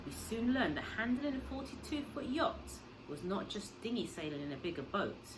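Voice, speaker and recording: A woman reading a children's novel aloud like a schoolteacher, with expressive, dramatic storytelling. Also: mid-range voice, moderate pace, noisy background.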